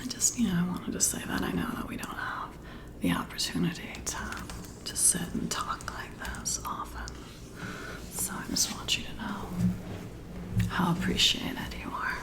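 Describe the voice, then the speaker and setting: A woman speaking in a soft, breathy whisper in an intimate ASMR roleplay, addressing the listener in a flattering way as a friendly boss. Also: lowish voice, normal speed. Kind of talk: soft-spoken ASMR roleplay, one-sided intimate chat.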